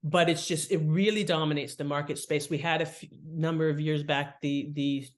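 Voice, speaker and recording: A woman's voice: deep voice, steady tone, moderate pace. Woman explaining in a conversational way. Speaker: guest author and writing expert. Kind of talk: interview explanation, conversational advice.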